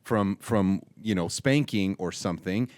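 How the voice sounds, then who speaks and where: A man's voice: unhurried, dry recording. A man in his thirties, a pastor, talking casually and explaining points with emphatic bursts. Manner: animated, swings from low and soft to loud emphatic bursts. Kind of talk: casual chat.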